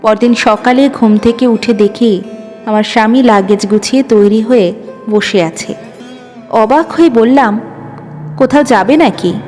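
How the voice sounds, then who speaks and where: A woman narrating a dramatic first-person story in an emotive, expressive way. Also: a little high, a little slow. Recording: long reverb, music underneath. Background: background music.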